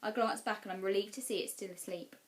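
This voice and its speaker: A woman's voice: medium pitch, steady tone, fast. Woman reading a children's story aloud. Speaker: teacher reading class storytime. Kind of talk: expressive children's storybook narration.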